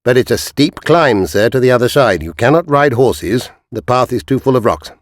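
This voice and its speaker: A sly, cunning spy in a children's adventure story, put on by a male reader. He reports calmly and politely, pitched a little above the reader's own voice, in a dry, close recording. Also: moderate pace, dry recording, lightly acted. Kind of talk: storybook reading.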